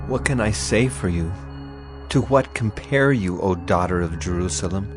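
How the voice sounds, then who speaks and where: A man's voice: sits low, animated, normal speed, music in the background. A man playing an earnest, afflicted prophet who laments in a dramatized scripture reading. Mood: sorrowful.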